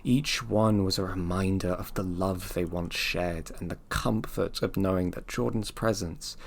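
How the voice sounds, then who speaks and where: An actor playing the narrator of an audio drama, telling a solemn story in a measured, dramatic way. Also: male voice, sits low, fairly even intonation, gravelly, a little hiss or hum. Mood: measured, ominous.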